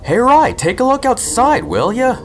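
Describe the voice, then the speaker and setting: A man's voice: very high-pitched, sing-song, normal speed, a little hiss or hum, exaggerated voice. A man playing a young, focused and uneasy male train engineer in a story reading. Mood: casual urgency.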